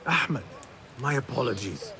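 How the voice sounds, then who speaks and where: An actor playing an earnest, eager young apprentice assassin in a video game, with a respectful, formal manner. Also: male voice, mid-range voice, expressive, unhurried, pushed and bright. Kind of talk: dramatic video game acting.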